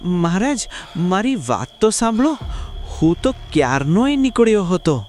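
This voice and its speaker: Man voicing a crafty, slow-talking little jackal. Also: high voice, sing-song, darkish tone, slight background noise, voice pushed up. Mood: pleading, feigned fear. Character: small clever male jackal, sly, falsely humble. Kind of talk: children's cartoon story dialogue.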